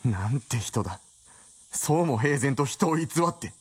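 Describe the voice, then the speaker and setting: A man's voice: medium pitch, animated, measured pace, a little mellow, breathy edge. A man playing an earnest, straitlaced detective novelist in a period radio drama, polite but easily flustered and incredulous. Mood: incredulous, agitated.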